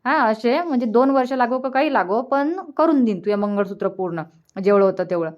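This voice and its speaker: A woman's voice: a little high, animated, brisk, lo-fi sound, lightly acted. A woman playing a generous, practical village mother in a cartoon comedy, insistently reassuring in a Varhadi Marathi accent. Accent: Varhadi Marathi of Vidarbha. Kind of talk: dialect cartoon comedy dialogue.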